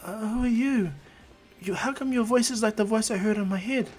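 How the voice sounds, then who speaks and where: A man's voice: high voice, a little fast, a little mellow, pitched above the performer's own voice. A man voicing a timid, hesitant little girl in an amateur spoken story. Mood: timid, puzzled. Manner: hesitant, questioning. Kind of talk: amateur fan-fiction storytelling.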